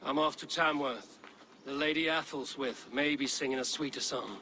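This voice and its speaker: An actor playing a stern young Viking warrior in a game cutscene, terse and blunt. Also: male voice, mid-range voice, normal speed, noisy background, lightly acted. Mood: taunting. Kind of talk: dramatic game cutscene acting.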